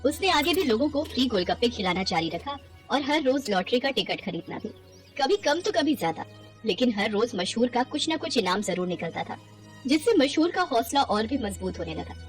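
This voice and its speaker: A woman narrates a cartoon fable in an expressive, scripted style. Her voice is fairly high and moves around a lot, with faint music underneath. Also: moderate pace. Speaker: story narrator.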